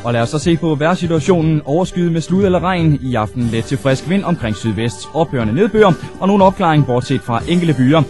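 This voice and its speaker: A young man presenting live on the radio as host, in a small studio on a boom microphone. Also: lively intonation, hardly any pauses, darkish tone. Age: young adult. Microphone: studio microphone on boom arm. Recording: music behind, old videotape aircheck. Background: music.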